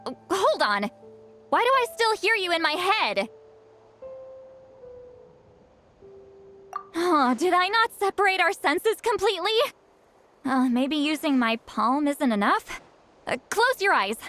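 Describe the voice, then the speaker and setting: A woman voicing a centuries-old shaman in a video game, halting and awkward, aloof but secretly lonely. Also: extremely high pitch, sing-song, pushed and bright, faint music behind, heavily acted. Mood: flustered. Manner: halting, flustered hesitations turning wistful.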